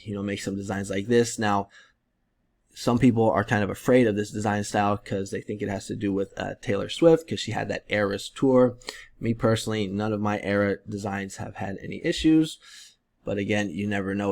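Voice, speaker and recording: A man in his thirties talks through a tutorial in a loose, rambling way, full of ums and likes. His voice sits low with a gravelly fry, and it is strained and fading as he loses it. He talks into a webcam in a room at home. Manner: relaxed, rambling, many fillers. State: losing his voice, clearing his throat. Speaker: print-on-demand seller and tutorial creator. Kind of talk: casual screen-share tutorial.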